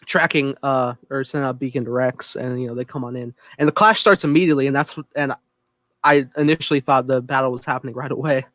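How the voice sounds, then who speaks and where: A man with a mid-range voice gives a casual recap as a podcast co-host. His delivery is halting and hesitant, and the sound is close and dry with no room echo. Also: medium pitch, fairly even intonation, fairly bright tone.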